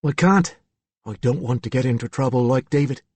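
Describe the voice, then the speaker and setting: A man voicing an earnest, impish thirteen-year-old boy with a Cockney accent and crisp, childlike delivery for an audiobook. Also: mid-range voice, normal speed, darkish tone, no room sound, close to an everyday voice. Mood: anxious.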